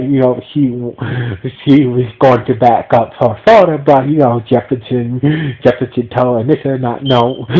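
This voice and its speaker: A man with a stuffy nose, recapping a TV episode as a podcast reviewer, talking in halting bursts full of restarts and repeated fillers. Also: medium pitch, warm tone. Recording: dull lo-fi audio.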